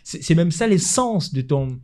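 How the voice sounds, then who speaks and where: Male host, mellow dark timbre, chatty and rambling. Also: on the high side, expressive, normal speed. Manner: chatty, adds asides and restarts with "allez, euh". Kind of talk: casual interview.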